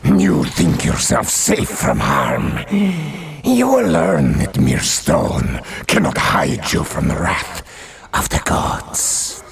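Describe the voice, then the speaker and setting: A slow, heavily rasping zealot of dark gods for a fantasy video game. He is pompous and menacing, his pitch lurching widely as he pronounces doom over music. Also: wildly swooping pitch, heavily acted. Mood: menacing, scornful. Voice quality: gravelly, growling. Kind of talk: theatrical fantasy game acting.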